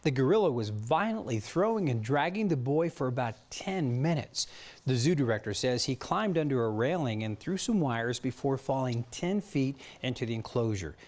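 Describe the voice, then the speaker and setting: American guy doing a tv newscast, medium pitch, anchor style reading. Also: animated, normal speed, darkish tone. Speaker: TV news anchor. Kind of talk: scripted news narration with some co-anchor banter.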